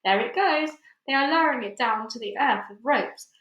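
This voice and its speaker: Woman as an urgent, high-voiced young boy. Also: lively intonation, moderate pace, highs cut off. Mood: alarmed. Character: young boy hero, urgent, quick-witted, excited. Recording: echoey room.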